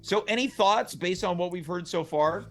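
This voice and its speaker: A man's voice: high-pitched, moderate pace, soft background music. A man hosting a casual show, chatting and reading scripted text aloud. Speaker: show host.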